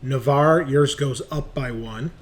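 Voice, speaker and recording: A man's voice: mid-range voice, takes it slowly. Man running a tabletop roleplaying game. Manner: explains rules and narrates action. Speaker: game master.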